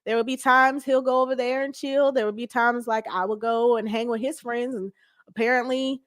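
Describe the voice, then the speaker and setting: A woman's voice: on the high side, fairly even intonation, fairly quick, no echo, clean studio sound. A woman in her forties speaking as an interview guest, casual and candid in conversation. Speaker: talk show guest.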